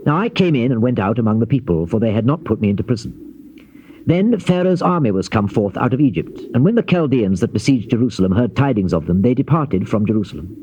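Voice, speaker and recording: An actor playing a grave Old Testament prophet in a dramatized scripture reading, with solemn, declamatory and measured phrasing. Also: male voice, medium pitch, animated, mellow, lightly acted. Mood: solemn narration. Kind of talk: dramatized scripture reading, classical stage delivery. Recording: dull lo-fi old cassette, faint hiss.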